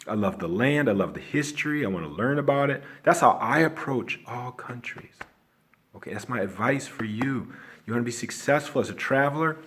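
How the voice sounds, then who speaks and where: A man's voice: lowish voice, fairly bright tone. A man from Chicago with an American accent, talking loosely in a casual monologue as an online teacher, often asking "you know what I'm saying?". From Chicago, USA.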